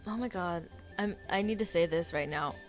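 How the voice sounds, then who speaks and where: A woman's voice: on the low side, moderate pace. A woman gaming streamer giving casual reaction commentary as she plays. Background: game music.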